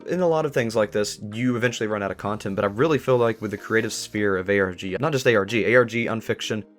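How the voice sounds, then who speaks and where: Male talk host, lowish pitch, rapid delivery, effusive and conversational. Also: faint music behind. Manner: effusive, repeats words for emphasis. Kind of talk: casual enthusiastic discussion.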